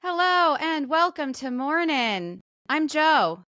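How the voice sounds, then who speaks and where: A woman's voice: sits high, very animated, takes it slowly, over music. A woman co-hosting a podcast in casual, conversational chat, with plenty of "like" and trailing asides. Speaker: podcast co-host; actor.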